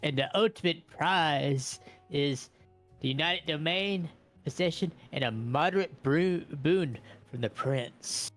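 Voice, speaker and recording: A man with a slightly high voice reads game rules aloud. He drops in casual asides as a player, while quiet music sits faintly behind him. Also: takes it slowly.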